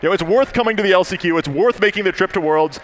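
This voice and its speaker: A high-voiced man talks at a very rapid clip as a tournament co-commentator. His analysis is conversational, admiring and effusive. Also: low-quality recording. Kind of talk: live esports analysis, conversational.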